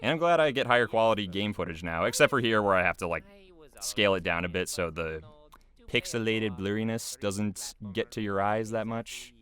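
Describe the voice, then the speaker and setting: A man's voice: lowish voice, a little fast, a bit bright. A man giving casual, rambling, self-effacing behind-the-scenes commentary in a chatty way. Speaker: video creator giving commentary. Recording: music playing behind the voice. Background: music.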